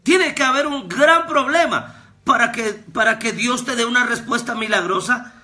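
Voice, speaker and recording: A man, preaching a fervent devotional sermon as a pastor and prophet, with repetitions that rise to shouts. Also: very high voice, moderate pace. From Guatemala. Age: 30s.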